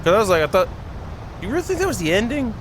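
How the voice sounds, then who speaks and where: A man's voice: high-pitched, big pitch swings, very fast, heavy background noise. A man doing casual let's play commentary and reading a visual novel aloud, with playful asides and dramatic reading. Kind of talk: casual gameplay commentary and read-aloud narration. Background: game music.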